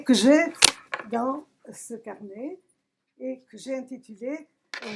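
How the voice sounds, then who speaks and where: A woman from southern Italy, a poet giving a reflective talk about her work, searching for words with emphatic pauses. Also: sits high, slight background noise, poor audio. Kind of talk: reflective talk at a poetry recital. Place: public library event.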